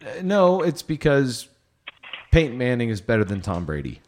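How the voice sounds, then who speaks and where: A man's voice: medium pitch, sing-song, normal speed, darkish tone, a little hiss or hum. A man hosting a podcast with casual, teasing sports banter. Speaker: podcast host.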